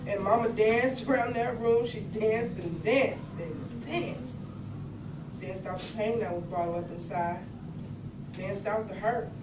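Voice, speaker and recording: Woman as bluesy storytelling sister, poetic stage delivery, mid-pitched voice, earthy, very noisy distant recording. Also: measured pace, lightly acted. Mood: sorrowful. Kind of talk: staged reading of a poetic play. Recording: distant echoey room, loud background noise.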